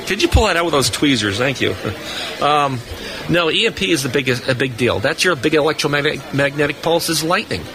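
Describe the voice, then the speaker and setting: A man's voice: fairly high pitch, animated, brisk, a crowd in the background. An older man co-hosting a live radio show, telling jokey stories and explaining radio lore in a casual chat. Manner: jokey storyteller. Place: convention floor booth. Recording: noisy live convention floor.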